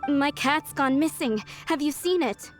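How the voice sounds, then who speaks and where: A woman's voice: high voice, measured pace. A woman playing a chatty, somewhat scatterbrained female tavern keeper looking for her lost cat. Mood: worried. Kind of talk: video game dialogue. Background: game music.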